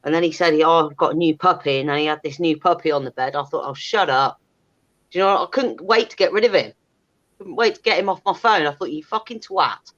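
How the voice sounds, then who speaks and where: A woman's voice: deep voice, fast, a bit bright, muffled recording. A woman, chatting candidly in a blunt, sweary, emphatic way. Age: 30s. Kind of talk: candid casual chat.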